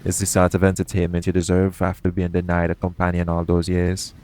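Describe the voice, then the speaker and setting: A man playing a roguish, caring pirate captain in an intimate audio roleplay, speaking in a soothing, gently teasing manner. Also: low voice, completely flat delivery, fairly quick, background noise, close to an everyday voice. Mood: teasing, playful.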